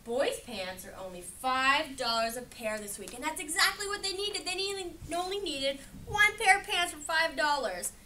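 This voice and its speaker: A woman's voice: high-pitched, lively intonation, moderate pace, hardly any pauses, bright, forceful tone. A woman reading a picture book aloud interactively to children, asking questions and affirming their answers as she goes. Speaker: read-aloud educator. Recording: distant mic in room with background noise.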